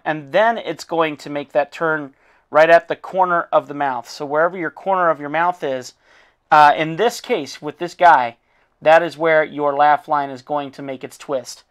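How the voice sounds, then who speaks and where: A man with a hoarse, fading voice walks a student through a critique of her drawing. His pitch sits on the higher side for a man, and he talks informally, encouraging and full of fillers. It sounds like a recorded art lesson. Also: a bit bright. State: losing his voice. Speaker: art teacher.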